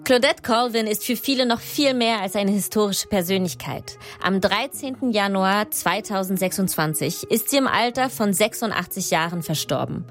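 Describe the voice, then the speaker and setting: A woman hosting a podcast, moving between scripted narration and addressing guest and listeners. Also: mid-range voice. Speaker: podcast host.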